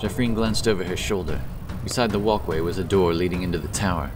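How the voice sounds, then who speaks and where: Deep-voiced man narrating dramatic fantasy. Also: fairly even intonation, quick pace, recorded from a distance. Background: loud epic background music.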